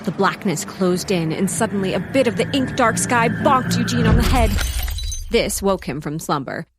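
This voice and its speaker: Wry female narrator voiced by an actress, mid-pitched, lively intonation, scripted comic storytelling. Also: moderate pace, background noise, natural voice.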